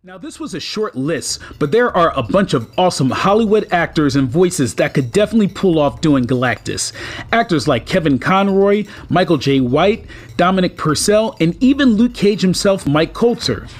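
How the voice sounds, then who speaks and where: Higher-pitched man hosting a fan video. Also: lively intonation, normal speed. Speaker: YouTube host. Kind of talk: scripted narration for an entertainment video.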